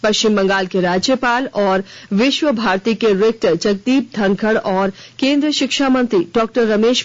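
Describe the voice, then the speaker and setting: A woman's voice: a little high, dry recording. Woman reading radio news, dull dry sound. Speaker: news reader. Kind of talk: formal scripted radio news bulletin. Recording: dull, band-limited radio broadcast.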